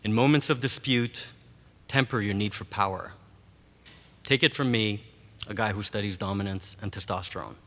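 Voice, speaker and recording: A man from Montreal whose first language is Greek, giving a formal graduation address as a professor in a measured, rhetorical way. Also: fairly low pitch, steady tone, fairly bright tone. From Montreal, Canada. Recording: long reverb of a large hall.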